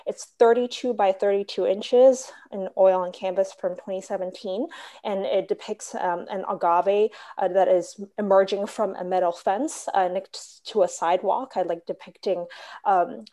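A woman from Hong Kong gives a slide talk about her paintings over a laptop video call. Her voice is soft and mellow at a middle pitch. She talks at a measured pace, with many "um"s and "uh"s. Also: steady tone. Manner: measured, with frequent "um" and "uh". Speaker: artist presenting her paintings. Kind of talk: online slide presentation. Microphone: laptop webcam video call.

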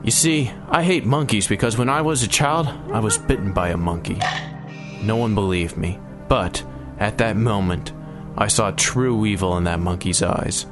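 A man's voice: lowish voice, lively intonation, moderate pace, over music, lightly acted. A man playing a grumpy, grudge-holding leader in a mock-solemn way for an audio comedy sketch. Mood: brooding. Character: grumpy leader haunted by childhood grudge against monkeys.

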